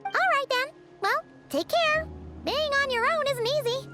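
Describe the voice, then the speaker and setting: Very high squeaky little fairy girl. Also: a little slow, over music. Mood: caring. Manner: bubbly, expressive. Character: small chirpy childlike female fairy companion. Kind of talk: video game dialogue.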